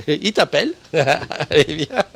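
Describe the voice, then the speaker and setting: A man's voice: a little high, gravelly, a bit bright. A man hosting a casual live morning show, chatting and greeting viewers by name with frequent laughs. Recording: noisy live stream.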